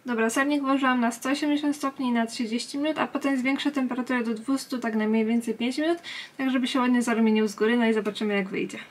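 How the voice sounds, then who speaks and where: A woman's voice: fairly high pitch, fairly even intonation, fast talker. A woman vlogger chatting casually and informally, speaking directly to her viewers. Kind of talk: casual vlog chat.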